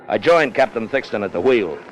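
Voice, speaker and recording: An actor playing a hard, cunning first officer on a whaling ship in clipped, tough 1950s radio drama style. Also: male voice, fairly low pitch, lively intonation, brisk, lightly acted. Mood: brooding, grim. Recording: muffled old radio recording with music.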